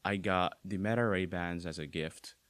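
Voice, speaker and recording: Young man with an Arabic accent, low voice. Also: moderate pace, clear, resonant tone, dry recording. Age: young adult. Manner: relaxed, many fillers and restarts. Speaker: guest. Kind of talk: casual interview talk.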